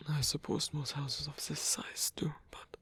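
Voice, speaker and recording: A man playing a lonely, courteous old vampire in an intimate ASMR roleplay, murmuring softly and unhurriedly in a breathy whisper. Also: fairly high pitch, little pitch movement, a little slow, heavily acted. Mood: gentle, hospitable.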